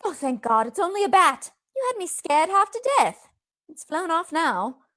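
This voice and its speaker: An anxious young Victorian woman played by an actress, high-voiced and very expressive. She is earnest and solicitous, in the manner of radio drama. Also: moderate pace, exaggerated voice. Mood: frightened. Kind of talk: radio play acting.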